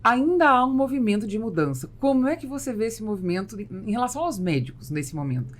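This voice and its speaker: A Brazilian woman hosting a business podcast, asking long questions and telling lively anecdotes. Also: on the high side, fast talker. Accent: Brazilian Portuguese. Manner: animated, tells anecdotes, asks long questions. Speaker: podcast host.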